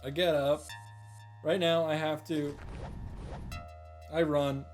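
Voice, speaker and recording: A man's voice: on the high side, flat delivery, takes it slowly. A man reading a visual novel's first-person narration aloud in an earnest, dramatic way and commenting as he goes. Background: game music.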